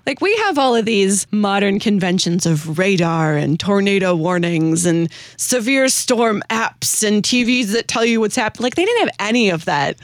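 A woman's voice: medium pitch, lively intonation, moderate pace, few pauses, dry recording, clean studio sound. An American woman hosting a casual chat, informal and giggly, who also reads verses aloud. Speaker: host presenting a hymn-writer's story.

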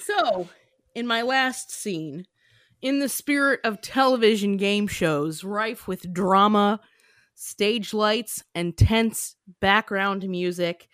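A woman podcast host chatting casually, explaining things in an animated, giggly way. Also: medium pitch, takes it slowly, clear, resonant tone, no room sound, studio quality. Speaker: podcast host and sketch creator. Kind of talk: casual chat.

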